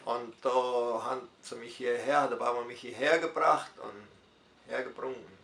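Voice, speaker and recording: An older man from southern Brazil with a Hunsrückisch German accent, a retired pastor telling his life story in an interview, unhurried and full of dialect forms. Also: mid-range voice, steady tone, vocal fry, fairly bright tone, reverberant.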